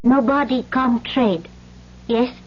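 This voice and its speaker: Devoted, fearful island wife in a radio play: high voice, simple broken English, muffled recording. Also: female voice, steady tone, slow, breathy edge. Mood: fearful, puzzled. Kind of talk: old-time radio drama acting.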